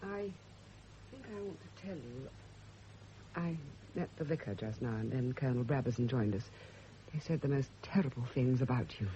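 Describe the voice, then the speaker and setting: A woman's voice: extremely low pitch, lively intonation, moderate pace, warm tone. An actress playing an embittered, sleepless, guilt-ridden woman in a classic radio drama, speaking with tense emotional intensity. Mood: guarded. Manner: intense, emotional, self-justifying. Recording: muffled, noisy old radio recording.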